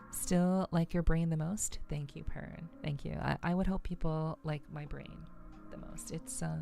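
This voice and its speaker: A woman livestreamer chatting casually to viewers in an ASMR style, with a soothing voice and a relaxed, halting, unhurried delivery. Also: low voice, gravelly. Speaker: VTuber livestream host.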